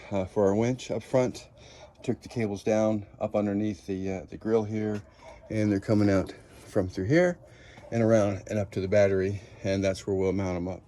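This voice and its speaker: An American man giving a casual, folksy how-to, often checking in with a quick okay or all right. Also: fairly low pitch, dark tone. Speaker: host of an off-road vehicle DIY channel. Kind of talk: casual how-to chat to camera.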